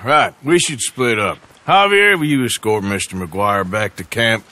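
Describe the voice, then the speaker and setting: An actor playing a sardonic, gruff American outlaw enforcer with a husky voice in a Western game. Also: male voice, on the low side, measured pace, sharp tone. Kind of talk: dramatic game cutscene acting.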